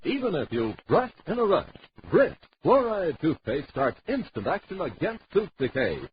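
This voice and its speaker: A muffled, noisy old radio recording carries a man acting as a peppy male commercial announcer. His voice swings in lively fashion as he makes a punchy, salesy 1950s pitch. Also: moderate pace. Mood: upbeat, salesy.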